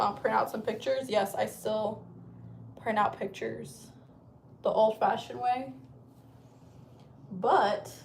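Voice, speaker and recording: A woman's voice: on the high side, creaky, darkish tone. A woman in her thirties, from Chile, chatting casually on a livestream from home, rambling and swearing freely. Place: at home, indoors.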